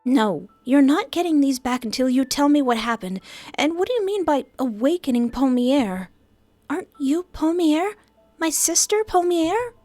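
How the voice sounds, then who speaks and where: A woman voicing a fierce, angry young woman with magic in a fantasy audio story, speaking in a demanding, sharp-tongued way. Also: high-pitched, lively intonation, normal speed, no echo, soft background music, voice pushed up.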